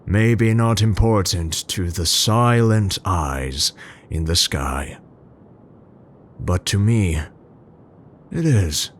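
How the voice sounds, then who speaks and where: Casting: male actor as an older, gentle scientist for ASMR roleplay. Low, slow, hesitant and formal, tenderly smitten. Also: slight background noise. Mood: tender, hushed. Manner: halting, musing, formal, with hesitant stammers. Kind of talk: ASMR roleplay, intimate scripted monologue to listener.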